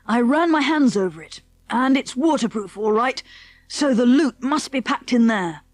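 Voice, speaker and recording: Plucky English schoolboy adventurer played by a woman, confident and hearty, high voice, dry close sound, children's storybook reading. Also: lively intonation, no echo, lightly acted. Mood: excited, businesslike. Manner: brisk, hearty, old-fashioned British schoolboy diction. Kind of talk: children's audiobook character acting.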